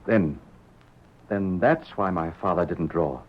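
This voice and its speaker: An actor playing an earnest, troubled young western rancher, speaking haltingly in a radio-drama style. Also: male voice, low voice, husky, exaggerated voice. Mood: troubled, questioning. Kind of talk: old-time radio drama acting. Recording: dull vintage radio recording.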